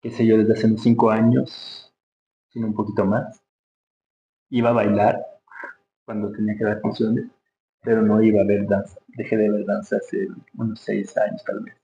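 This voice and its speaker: A man's voice: on the low side, flat delivery, moderate pace, gravelly, warm tone. A man with an Ecuadorian accent talking casually as an interview guest, informal and reflective, thinking aloud. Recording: roomy, dull sound with faint hum.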